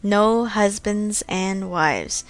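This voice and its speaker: A woman voicing an eager, agreeable gentleman suitor with a fawning, deliberate manner in a storybook reading. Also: mid-range voice. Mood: eagerly agreeing.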